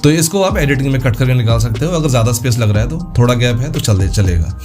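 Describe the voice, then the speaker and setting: A man hosting a casual step-by-step software tutorial, explaining informally and thinking aloud as he demonstrates. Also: mid-range voice, lively intonation, fast, faint music behind. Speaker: tutorial host.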